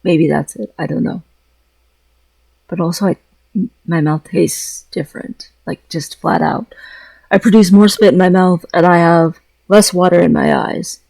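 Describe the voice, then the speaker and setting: A man's voice: high voice, fairly even intonation, measured pace, creaky, a little mellow, no room sound, low-quality recording. A man talking in a casual, rambling vlog style, hesitant, often repeating phrases and trailing off mid-thought. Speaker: vlogger.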